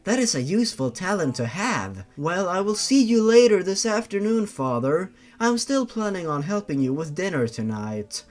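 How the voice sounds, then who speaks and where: A man's voice: high voice, very animated, moderate pace, hardly any pauses. A man voicing a polite, composed young woman with a vengeful streak, in an audio horror story. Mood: polite, pleased.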